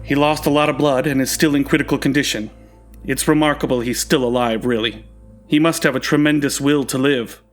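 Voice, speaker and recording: A man's voice: medium pitch, fairly even intonation, fairly quick, soft background music, close to an everyday voice. A man playing a weary, matter-of-fact surgeon in a radio play, speaking in a grave, clinical manner.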